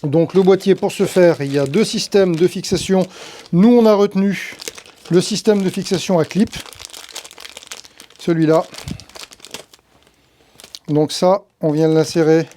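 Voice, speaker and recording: A man's voice: high-pitched, a little slow, long pauses, mellow, a little hiss or hum. A man giving an informal hands-on tutorial, explaining each step conversationally and thinking aloud as he handles the gear. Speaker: tutorial host, tree-work professional.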